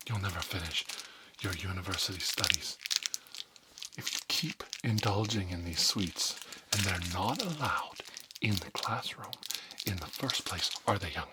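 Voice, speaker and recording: A man playing a stern, pompous school principal in a whispered ASMR role play, scolding pupils in halting, drawn-out phrases. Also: lowish voice, heavy background noise. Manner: pompous, halting, drawn-out, repeats words for emphasis.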